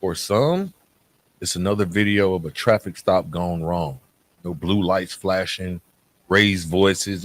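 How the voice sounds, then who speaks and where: A man hosting a podcast, speaking in a reflective monologue with a measured, earnest delivery and dramatic pauses. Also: low-pitched. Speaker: podcast host.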